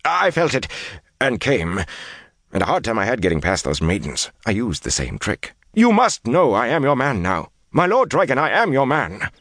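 A man's voice: rumbling low, very animated, moderate pace, vocal fry, no room sound, clean studio sound, heavily acted. A man voicing a frightened, slippery servant in an audiobook fantasy tale, obsequious and pleading, prone to babbling and stammering.

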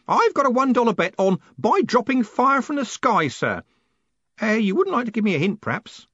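A man's voice: sing-song, moderate pace, fairly bright tone, no room sound, muffled recording, full character voice. A man voicing a sly, cackling old man in an audiobook, eager and deferential, wheedling as he talks. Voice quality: cackling, high-pitched. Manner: deferential, wheedling, often adds "sir". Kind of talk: audiobook character voice.

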